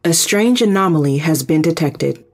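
An actor playing a calm spaceship computer in a sci-fi audio drama, giving formal, precise reports. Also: mid-range voice, normal speed. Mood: neutral, precise.

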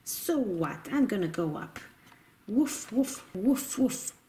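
A woman's voice: mid-range voice, animated, takes it slowly, mellow, a little hiss or hum. A woman voicing a naughty, stubborn toy doggy who barks and talks defiantly in children's pretend play. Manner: defiant, barking woofs.

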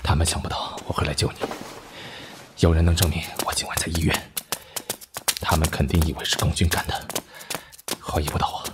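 A man's voice: very deep voice, level tone, fairly quick, long pauses, noisy background. A man playing a stern but devoted army officer, terse and brooding, in a radio drama. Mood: hushed, urgent. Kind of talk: Chinese fan radio drama acting.

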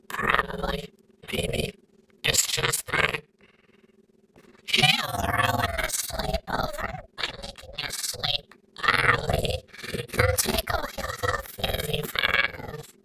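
A man voicing an anxious, pleading sun jester animatronic in a fan comic dub, with drawn-out, stammering delivery. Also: fairly high pitch, huge pitch swings, vocal fry, full character voice. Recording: noisy amateur mic, slightly distant.

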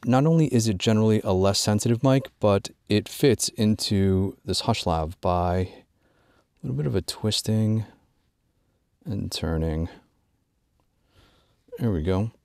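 A man presenting an informal instructional product walkthrough, drawing out key words in a measured way for emphasis. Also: on the low side, mellow, no room sound, studio quality. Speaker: gear tutorial presenter.

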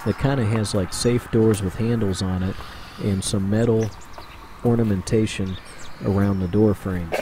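A man's voice: fairly low pitch, steady tone, moderate pace, background noise. A man doing casual voice-over narration for his own exploring video, rambling and chatty with plenty of fillers. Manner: rambling, conversational, with many fillers. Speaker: urban explorer vlogger.

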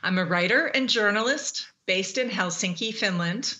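A middle-aged woman from Canada moderating a panel, speaking in a clear, measured way over a video link with an earphone mic. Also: mid-range voice, sharp tone, dull audio. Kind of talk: scripted panel introduction. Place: plain room, remote video link. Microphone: earphone headset mic.

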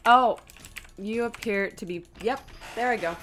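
A woman's voice: a little high, measured pace, fairly bright tone. Casual woman streamer over game music. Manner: self-deprecating, rambling, thinking aloud. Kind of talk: casual live gameplay commentary, reading chat aloud.

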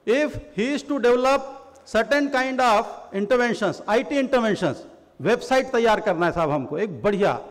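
Middle-aged Indian official, high pitch, podium speech in a reverberant hall. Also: male voice. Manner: bursts of rapid Hindi between slower English. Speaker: government official. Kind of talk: conference speech mixing Hindi and English. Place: large conference hall, at a podium. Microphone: podium microphone.

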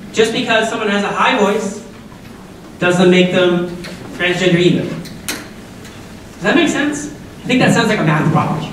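A woman in her forties with a deep voice, an upbeat and chatty speaker who keeps asking the students questions. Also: slight background noise. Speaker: guest speaker at a school assembly. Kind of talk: lively talk to students. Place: large school auditorium. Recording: echoey auditorium from far off.

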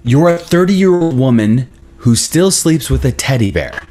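A man's voice: mid-range voice, very animated, unhurried, dark tone. A man in his thirties acting a laid-back, wry but defensive boyfriend in a naturalistic table read on a video call.

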